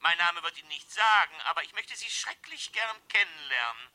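A scheming, calculating male crook in a radio drama. His voice is forceful and swings widely in pitch. He speaks theatrically, as if plotting every move, nervous yet menacing. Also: sing-song, brisk, dry recording, exaggerated voice. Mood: smooth, menacing. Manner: theatrical, muttering asides to himself. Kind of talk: 1970s German radio drama acting.

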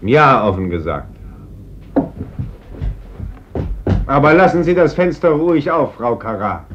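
An actor playing a gentle, troubled pacifist priest in a radio play, speaking in an earnest, measured, conciliatory way. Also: male voice, medium pitch, big pitch swings, close to an everyday voice. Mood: irritated. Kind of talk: German radio play acting. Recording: dull, noisy old radio recording.

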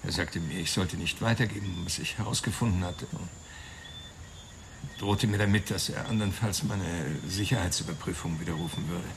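Gravelly, low-voiced weary informant. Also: male voice, moderate pace. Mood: weary, confessing. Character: weary male witness confessing he was bought off. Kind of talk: radio drama dialogue. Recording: clear background noise.

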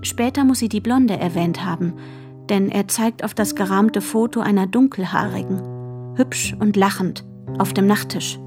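A woman performing as a detached narrator of a young woman's story in a radio drama, measured and matter-of-fact. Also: medium pitch, over music, natural voice. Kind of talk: literary radio drama narration, third person.

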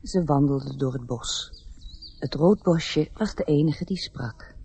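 A woman narrating a radio play fairy tale as a gentle, reflective storyteller with a husky, measured voice. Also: sits low, full character voice. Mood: tender.

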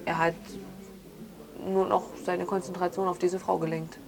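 A woman's voice: lowish voice, little pitch movement, normal speed, gravelly, crowd noise. A woman playing an aggrieved, defensive grown daughter in a naturalistic radio drama, arguing insistently with faltering restarts. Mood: insistent, bitter. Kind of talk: improvised naturalistic interview drama in conversational speech.

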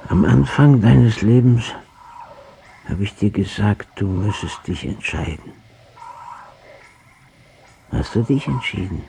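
A man's voice: lowish voice, slow pace, creaky, long pauses, mellow, slight background noise. An actor playing a bitter old father on his deathbed, reproaching his son in a radio drama. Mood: weak, solemn. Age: older.

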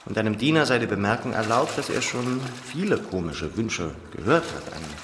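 An actor playing a sly, warty talking fish in a radio drama, mockingly polite and theatrical. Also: male voice, on the low side, big pitch swings, brisk. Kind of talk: German radio drama acting. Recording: echoing hall, long reverb, watery noise.

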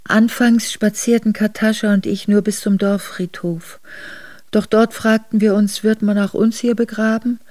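An actress playing an earnest, reflective exiled noblewoman, narrating her memoir in a measured way for a radio drama. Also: mid-range voice, flat delivery, darkish tone, no echo, lightly acted. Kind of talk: literary first-person radio drama narration.

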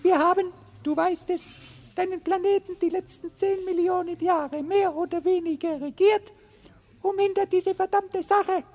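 A woman's voice: very high-pitched, steady tone, moderate pace, a little mellow. A woman playing a smug, businesslike male mouse in a radio play, condescending and commanding.

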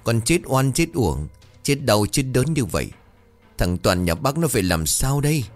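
A man voicing a sharp-tongued older village woman who wails and scolds loudly in an audio story. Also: mid-range voice, very animated, normal speed, soft background music, pitched above the performer's own voice, lightly acted. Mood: wailing grief. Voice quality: loud, ringing. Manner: wailing, accusatory. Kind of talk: dramatic audio-story dialogue.

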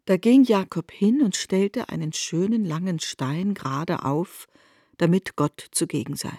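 Lower-pitched female literary narrator, measured. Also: mellow, slightly rough, dry recording.

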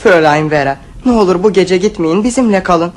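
A man's voice: sits high, brisk, natural voice. An actor playing a mischievous boy plotting pranks in a radio play. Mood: scheming. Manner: scheming, urgent. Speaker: one of the children.

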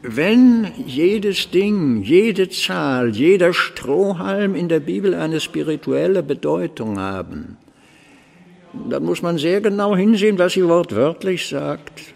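Pious scholarly canon for radio drama: creaky voice on the higher side, pedantic and didactic, long reverb. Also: male voice, sing-song, slow, darkish tone, exaggerated voice. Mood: solemn, lecturing. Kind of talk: German radio drama acting.

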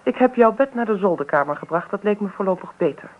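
A woman's voice: mid-range voice, expressive, fast, phone-quality sound, slight background noise, natural voice. Woman acting a firm, businesslike wife in radio drama. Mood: cool, firm. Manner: firm, matter-of-fact, direct. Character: assertive, businesslike wife and mother, cool and composed.

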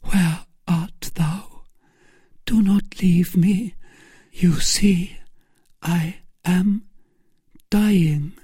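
A narrator voicing a fragile, devoted young woman in anguish, breathy and exclaiming, for a classic story. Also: mid-range voice, slow pace, a little mellow, dry recording, studio quality, voice pushed up. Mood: dying, pleading.

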